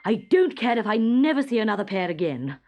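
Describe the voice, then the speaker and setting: A woman playing a blustering, excitable storybook king. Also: a little high, brisk, background noise, voice pushed up. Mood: exasperated. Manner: blustering, complaining. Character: fussy, excitable storybook king, man, sock-obsessed.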